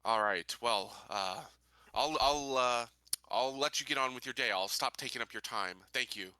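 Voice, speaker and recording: A man's voice: medium pitch, moderate pace, sharp tone, clear, resonant tone, no echo. A man playing a tabletop roleplaying game, improvising casually with polite, hesitant phrasing. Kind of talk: casual in-character improvised play.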